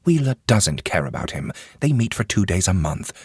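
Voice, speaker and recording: A man voicing a composed male courtier who talks in a calm, reassuring, glib way. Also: deep voice, moderate pace, slightly rough, no echo, clean studio sound, full character voice. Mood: matter-of-fact.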